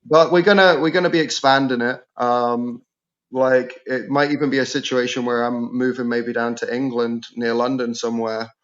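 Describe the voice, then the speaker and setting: A young man with a Scottish accent, a guest musician chatting casually and loosely, with many fillers. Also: mid-range voice. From Scotland. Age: young adult. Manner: relaxed, swearing, drawn-out fillers. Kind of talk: casual chat. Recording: slightly dull lo-fi sound.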